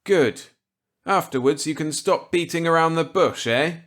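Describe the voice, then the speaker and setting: A man's voice: fairly high pitch, measured pace, fairly bright tone, pitched above the performer's own voice. A man voicing a genial, wry, unrepentant scientist in a storybook reading, with clipped, breezy delivery.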